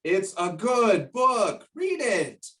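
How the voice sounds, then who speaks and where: A man's voice: high voice, very animated, a bit bright, recorded from a distance, full character voice. A man playing a bossy, greedy middle-aged shopkeeper father in a stage play, blustering and drawing out his words. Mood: scornful, nagging. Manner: blustering, emphatic, drawn-out words. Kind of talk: stage play acting over video call.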